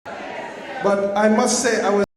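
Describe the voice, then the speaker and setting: A man's voice: high-pitched, steady tone, slow, a little hiss or hum. A man speaking up emphatically and insistently from the floor of a public meeting. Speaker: audience member speaking up. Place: large public meeting hall. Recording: echoey hall with long reverb.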